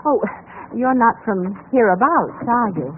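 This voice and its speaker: An actress playing a courteous, slightly nervous housewife in a genteel manner in a 1950s radio drama. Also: female voice, fairly high pitch, animated, a little slow. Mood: courteous, curious. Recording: noisy, tinny 1950s radio recording.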